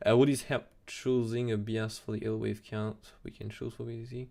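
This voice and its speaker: A young man with a French accent, talking casually on a live stream about trading charts, excitable and full of restarts, recorded at a desk mic in a small room. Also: on the low side, normal speed, vocal fry. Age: young adult. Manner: excitable, repeats "absolutely insane", many restarts. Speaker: crypto chart analyst streamer.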